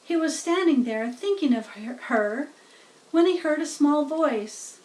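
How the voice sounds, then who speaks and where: A woman's voice: sits high, animated, measured pace, darkish tone, far from the mic, poor audio. A woman narrating a children's story as a read-aloud storybook reader, recorded a little away from the microphone.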